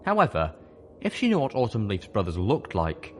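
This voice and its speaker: A man narrating a fan fiction audiobook with an expressive, animated delivery. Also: fairly low pitch, moderate pace, soft background music. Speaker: audiobook reader.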